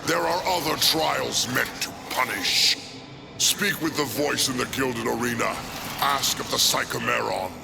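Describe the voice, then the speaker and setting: An actor playing a solemn, haughty undead lord of the dead in a video game, with a gravelly, imperious, theatrical delivery. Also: male voice, medium pitch, a little slow, noisy background. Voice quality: gravelly, creaky. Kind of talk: video game cutscene acting. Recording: loud cinematic music behind.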